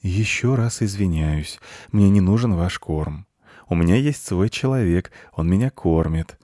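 A man's voice: low-pitched, expressive, unhurried, dark tone, no room sound, studio quality. A man voicing a suave, sleek house cat in an animal story, with courtly, formal and apologetic manners. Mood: dignified, polite. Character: suave, sleek, over-polite Siamese-type house cat.

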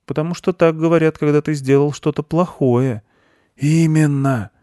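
A man's voice: fairly high pitch, fairly even intonation, normal speed, dark tone, no room sound, clean studio sound, voice pushed up, close to an everyday voice. A man voicing a pompous, persuasive male goat who preaches solemnly in a children's story. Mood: solemn, self-important. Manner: solemn, self-important, preachy.

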